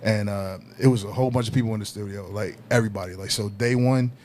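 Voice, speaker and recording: Man, deeper voice, laid-back storyteller, casual podcast chat, faint background noise. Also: fairly even intonation, fast, darkish tone. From Southern California. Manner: laid-back, peppered with "you know what I mean", laughs as he tells it. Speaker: guest hip hop artist.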